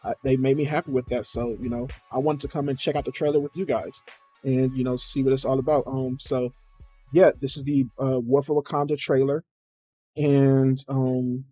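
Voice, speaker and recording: A man's voice: medium pitch, warm tone, no echo. A man chatting casually and informally as a video host, with slang and swearing as he reacts to a game trailer.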